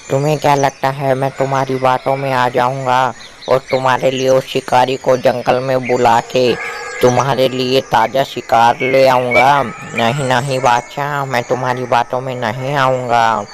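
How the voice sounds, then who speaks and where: A brash young lion voiced by a man, mid-pitched and colloquial. He is ambitious and pushy in the manner of a cartoon fable, with faint noise behind him. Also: flat delivery, moderate pace, lightly acted. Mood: indignant. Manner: colloquial, boastful, pestering. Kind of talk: Hindi animated moral story dialogue.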